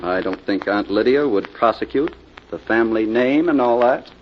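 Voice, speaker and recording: A man's voice: fairly low pitch, lively intonation, moderate pace, highs cut off, slight background noise, natural voice. An actor playing a debt-ridden young man about town, a brash and defensive schemer, in a 1940s radio drama on an old recording. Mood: sly, scheming.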